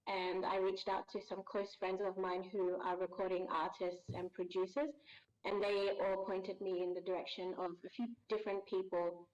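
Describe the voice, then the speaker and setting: A woman being interviewed as a voice actor, chatty and quick to laugh, with lots of ums. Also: mid-range voice, level tone, dull audio. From Australia. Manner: warm, chatty, laughs easily, many ums. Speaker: guest voice actor. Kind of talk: casual interview chat.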